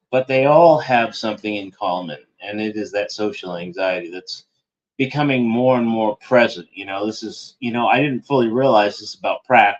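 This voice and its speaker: A man's voice: on the low side, highs cut off. A man hosting an internet show, delivering a meandering, sardonic monologue that keeps correcting itself. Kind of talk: rambling monologue commentary.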